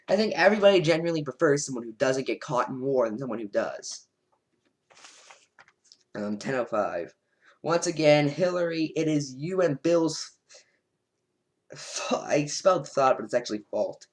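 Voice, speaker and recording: A man casually rambling through his notes on a debate, sarcastic and animated. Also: on the high side. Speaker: political commentator vlogger. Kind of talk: casual reaction commentary over notes.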